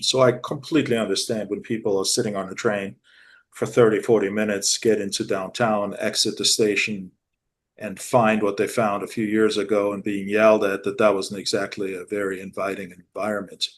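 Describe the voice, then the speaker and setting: A middle-aged man from Germany, a real estate director, talking in a measured, businesslike way with frequent fillers. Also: on the low side, level tone, slight background noise. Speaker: commercial real estate managing director, guest. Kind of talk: casual interview talk.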